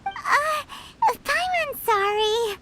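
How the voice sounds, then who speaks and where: A woman voicing a tiny, childlike flying fairy companion in a video game, squeaky, cheeky and excitable. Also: drawn-out words, very noisy, heavily acted. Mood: cheeky, sheepish. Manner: blunt, excitable, talks in third person. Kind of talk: scripted video game voice acting. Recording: game music clearly behind the voice.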